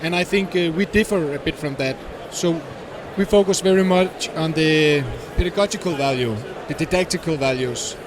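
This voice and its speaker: A man giving explanatory interview answers at a busy conference, halting and searching for words as a startup representative. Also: a little high. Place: busy tech conference venue. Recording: noisy event hall, steady din.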